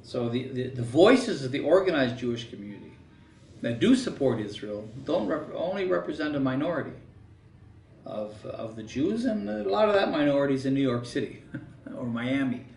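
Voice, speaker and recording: A man's voice: medium pitch, big pitch swings, moderate pace, creaky, a little hiss or hum. A man giving a critical, emphatic documentary interview, stressing his points. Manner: critical, emphatic, rises sharply when mimicking others. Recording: roomy, distant mic with soft music.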